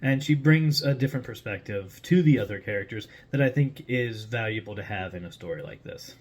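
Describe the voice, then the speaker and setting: A man's voice: lowish voice, a little fast. A man speaking casually and informally, explaining things in a conversational monologue as a writer and host. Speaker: writer and host introducing a story character.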